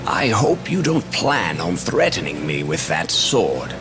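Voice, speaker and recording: Give me an aloof, amused sorcerer for a fantasy game. He is wry and theatrical, slow, with a widely swinging pitch. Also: big pitch swings, loud music behind the voice. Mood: wry amusement. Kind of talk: dramatic video game voice acting.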